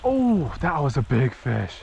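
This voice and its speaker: Man in his 30s, unhurried fishing vlogger, mid pitch, faint stream hiss, action-cam sound. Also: vocal fry. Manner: excitable, exclaims at each catch. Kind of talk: casual outdoor narration while fishing. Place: small creek in an open mountain valley outdoors. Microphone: head-mounted action camera.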